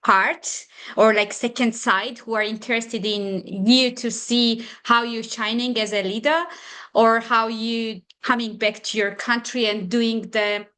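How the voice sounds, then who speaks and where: A woman whose first language is not English, hosting an online mentorship session and encouraging applicants in halting grammar. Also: a little high, a bit bright. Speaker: session moderator.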